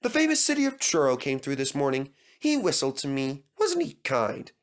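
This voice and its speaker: A man voicing a kindly, soothing male engine with gentle amusement in a storybook. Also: medium pitch, wildly swooping pitch, moderate pace. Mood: cheerful.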